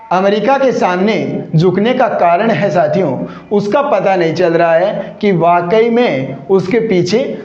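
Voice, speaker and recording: A man's voice: high voice, darkish tone. A man teaching a grammar lesson, who asks himself rhetorical questions and answers them. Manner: addresses viewers as friends, repetitive drilling. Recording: echoey room, dull lo-fi sound.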